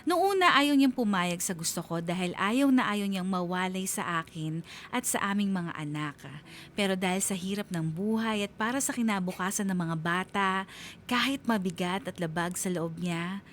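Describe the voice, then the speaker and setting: A woman's voice: mid-range voice, expressive, normal speed, a little hiss or hum. A woman radio host reading a listener's letter as heartfelt, dramatic scripted narration.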